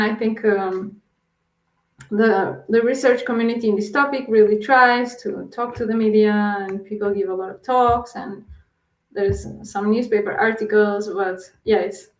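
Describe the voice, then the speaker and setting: A woman's voice: mid-range voice, steady tone, a little slow, echoey room, rough recording. A woman from Portugal with a Portuguese accent, a researcher answering interview questions with many fillers and restarts. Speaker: guest researcher.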